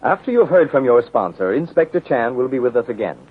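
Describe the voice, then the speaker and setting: A male announcer from 1930s radio with a formal, polished delivery. He talks quickly, and the sound is narrow and muffled like a phone line. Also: mid-range voice, very animated.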